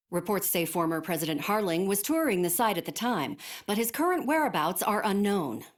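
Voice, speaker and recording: A composed female news anchor, voiced by an actress, reads breaking news crisply and formally. Her voice is mid-pitched and steady, in the style of a broadcast bulletin. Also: lightly acted.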